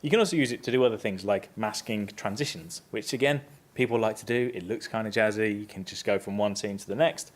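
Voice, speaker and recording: A man presenting a casual, upbeat software tutorial on a conference stage, stepping through each click. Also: fairly low pitch, fairly quick. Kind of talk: live software tutorial talk.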